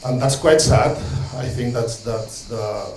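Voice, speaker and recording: A man's voice: mid-range voice, unhurried, echoey room, soft background music, rough recording. A man from Catalonia speaking English with a Catalan-Spanish accent, giving a hesitant, earnest talk as a street social educator from notes. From Catalonia, Spain. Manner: hesitant, many ums and restarts, warm asides.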